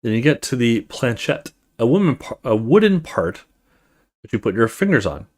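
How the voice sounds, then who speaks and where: A man hosts a let's play, reading a horror story game aloud. His pitch moves around a lot as he tells the story. He mixes scripted narration with casual remarks of his own. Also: very animated, normal speed, a little mellow.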